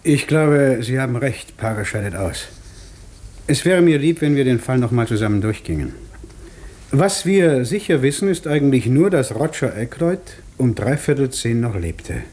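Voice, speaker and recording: An actor playing a sceptical, blustery police inspector in a 1950s German radio drama, speaking in an emphatic, doubting way. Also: male voice, mid-range voice, animated, moderate pace, darkish tone, lightly acted. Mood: deliberating.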